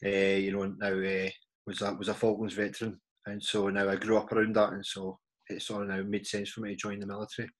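A man in his forties with a Glaswegian Scottish accent, a podcast guest talking casually and haltingly, repeating words and filling pauses. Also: lowish voice, monotone. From Scotland. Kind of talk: casual interview chat.

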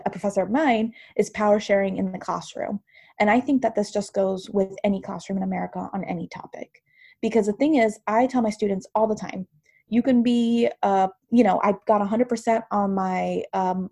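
A woman's voice: mid-range voice, gravelly, mellow. A woman who is a counselor and university professor, explaining at length in a thoughtful, teacherly way during a casual podcast discussion.

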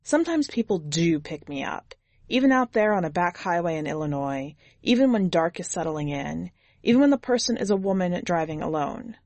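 Woman reading as jaded, lowish-voiced young drifter. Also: expressive, normal speed, a little mellow, no room sound. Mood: wry, jaded. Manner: wry, blunt, sardonic. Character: jaded, foul-mouthed twenty-year-old drifter hitchhiker. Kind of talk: first-person audiobook narration.

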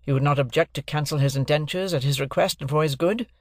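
A man's voice: a little high, fast talker, no room sound, studio quality, natural voice. A man voicing a brusque, stern middle-aged lawyer who speaks in curt, clipped, commanding sentences. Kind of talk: audiobook character voice.

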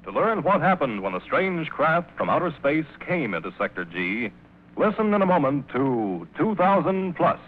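High, sweeping male announcer on tinny old radio. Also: sing-song, normal speed. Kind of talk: dramatic 1950s radio announcing. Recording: tinny narrow-band old radio recording.

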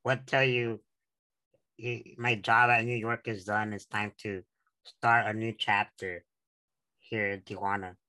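A man's voice: on the low side, level tone, measured pace, pauses often, pushed and bright, low-quality recording. An eighteen-year-old man from San Diego hosting an interview, reading the introduction earnestly with restarts. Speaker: podcast host.

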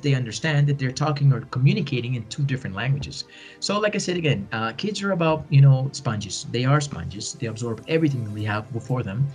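Earnest man, encouraging, repeating for emphasis. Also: medium pitch. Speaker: podcast guest, bilingual educator. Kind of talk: conversational interview answers.

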